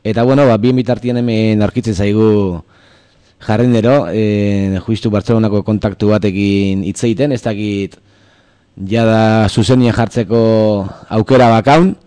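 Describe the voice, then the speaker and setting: A man's voice: lowish voice, moderate pace, smooth, dry recording. A man hosting a weekly radio show, talking loosely with many fillers and reading out a phone number. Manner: loose, filler-heavy, relaxed. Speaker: radio host. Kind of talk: casual radio presenting.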